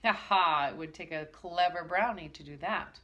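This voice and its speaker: A woman's voice: fairly low pitch, moderate pace, highs cut off. A woman voicing a stern, blustering male schoolmaster who barks orders at his class in a storybook. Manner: loud, barking, pompous.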